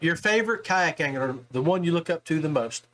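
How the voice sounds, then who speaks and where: Higher-pitched man hosting a casual chat. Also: lively intonation, fairly quick, a bit bright, poor audio. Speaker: podcast host, interviewer.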